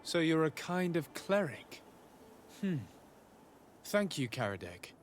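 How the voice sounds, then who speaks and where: A man playing a calm, diplomatic adventuring envoy in a video game, speaking in a level-headed, polite way. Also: fairly high pitch, slow pace, a little hiss or hum. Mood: calm, inquiring. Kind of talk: video game cutscene acting.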